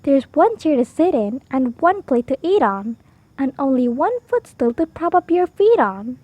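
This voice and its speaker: A woman's voice: high voice, sing-song, normal speed, no room sound, muffled recording. A woman voicing a fussy, outspoken lady guest in a children's storybook, with a prim, emphatic manner. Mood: prim, dismayed.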